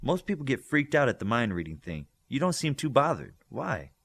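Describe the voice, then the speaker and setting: A man voicing a bold, brisk, teasing teenage girl who reads minds, in an audiobook story. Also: medium pitch, sing-song, creaky, fairly bright tone, husky, voice pushed up, full character voice. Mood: cheerful.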